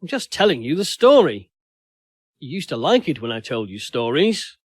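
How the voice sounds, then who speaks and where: A man's voice: fairly high pitch, big pitch swings, normal speed, slightly rough, dry recording, studio quality. A man voicing a weary, cynical, defensive man in a strained relationship in an audio story.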